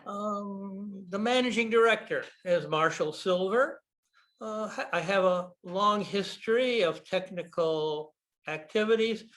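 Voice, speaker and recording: An older American man giving a slide presentation over a video call, speaking deliberately with frequent pauses and fillers. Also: high-pitched, a bit bright. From United States. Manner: deliberate, with frequent ums and uhs. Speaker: guest speaker, bookshop owner.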